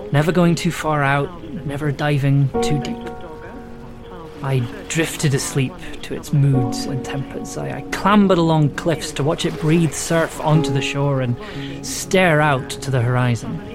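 A performer playing a weary, haunted submariner in a halting, reflective audio drama monologue. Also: medium pitch, close to an everyday voice. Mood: wistful. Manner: halting, reflective, deliberate pauses. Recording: music under the voice. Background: music.

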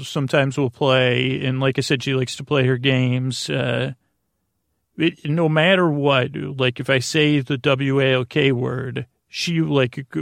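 Casting: male podcast host for casual bedtime monologue, mid-pitched and gravelly, meandering and halting, very dry close sound. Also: dry recording. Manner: meandering and halting, trails off into tangents.

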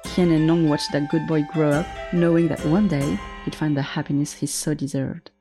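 A woman narrating a story in a gentle, measured, scripted way. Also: deep voice, fairly even intonation. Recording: faint music underneath.

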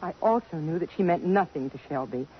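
A woman's voice: on the low side, lively intonation, fairly quick, breathy edge. An actress playing a guarded, proud young woman under suspicion, terse and defiant, in 1950s radio drama. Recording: muffled 1950s radio broadcast.

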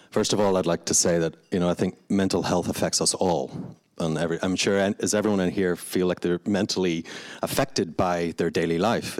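A man's voice: sits low, no echo. A man with a Belfast accent, a meditation teacher speaking earnestly and passionately on a live panel, often restarting his phrases. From Northern Ireland. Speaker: meditation teacher and studio founder. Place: indoor event space before a live audience.

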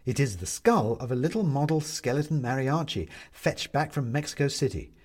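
A man reads a storybook chapter aloud in a husky voice, wry and expressive, his pitch rising and falling freely. The delivery is measured and clearly scripted. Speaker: audiobook narrator.

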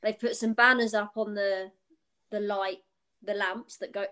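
A woman's voice: medium pitch, steady tone, measured pace, sharp tone, smooth. A chatty British woman in her twenties, with a mid-range voice, rambles through her point full of likes and ums. Her talk is casual and easygoing. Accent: British English. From United Kingdom. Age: 20s. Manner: chatty, rambling, many fillers. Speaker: podcast co-host. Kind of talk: casual chat.

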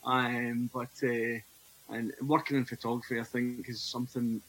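A Scottish man in his forties speaks as a podcast guest, earnest and reflective as he tells his own story. His pitch sits in an ordinary middle range for a man. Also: flat delivery, moderate pace, fairly bright tone, husky, poor audio. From Scotland. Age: 40s. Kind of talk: personal testimony in conversation.

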